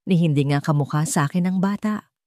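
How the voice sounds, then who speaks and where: A woman's voice: sits low, a little fast, warm tone, no room sound, lightly acted. Woman playing a bitter, accusing husband. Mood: self-mocking. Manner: mocking, commanding, accusatory.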